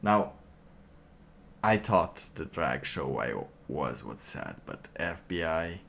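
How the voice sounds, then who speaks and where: A man livestreaming a casual monologue in a halting, rambling way, with phrases that trail off. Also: a bass voice, big pitch swings, vocal fry, a bit bright, slight background noise.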